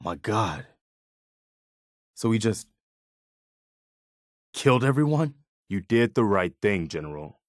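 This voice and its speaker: A man playing an earnest, weary and idealistic militia officer in a post-apocalyptic video game, speaking in a measured way. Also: fairly low pitch, very animated, no room sound, clean studio sound. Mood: dismayed, reproachful. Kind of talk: video game character dialogue.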